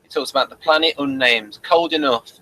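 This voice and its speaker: A man's voice: mid-range voice, a little fast, low-quality recording. A man hosting a casual review chat, prompting with questions and short agreements. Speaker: podcast host asking questions. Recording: bright with faint background noise.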